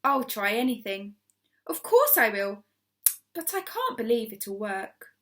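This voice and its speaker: A woman's voice: on the high side, big pitch swings, unhurried, a bit bright. A woman voicing a bewildered, trusting older lady with a wondering, questioning manner, in a storybook reading. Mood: doubtful, willing.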